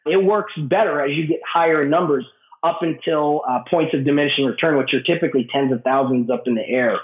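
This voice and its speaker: A man with a cold, his voice raspy and hoarse, answering interview questions at length with many restarts. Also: on the high side, brisk. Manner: explanatory, with frequent restarts and fillers. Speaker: guest, business owner. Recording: tinny phone-like line in an echoey room.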